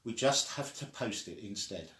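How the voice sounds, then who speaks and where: A man's voice: on the low side, lively intonation, moderate pace, a bit bright. Man reading as a caring, reassuring parent, echoey room.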